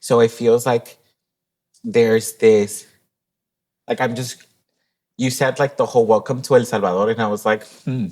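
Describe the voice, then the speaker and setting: A man's voice: medium pitch, fairly even intonation, a little slow, pauses often, a little mellow. A man co-hosting a podcast in casual chat, reflective and heartfelt, slipping Spanish phrases into his English. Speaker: podcast co-host.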